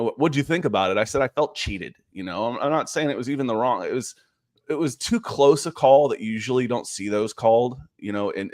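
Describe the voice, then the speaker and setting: A man's voice: fairly low pitch, dry recording, studio quality. A man in his fifties hosting a casual live sports podcast, chatting conversationally with frequent asides. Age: 50s. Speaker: podcast host.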